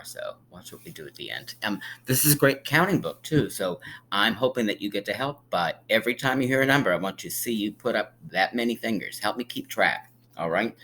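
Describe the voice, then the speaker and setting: A man reading a picture book aloud to young children like a teacher, with emphatic pauses and encouraging, friendly asides. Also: fairly low pitch, a bit bright, slight background noise, poor audio. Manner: encouraging, inviting listeners to count along; drawn-out, emphatic storytelling delivery with dramatic pauses.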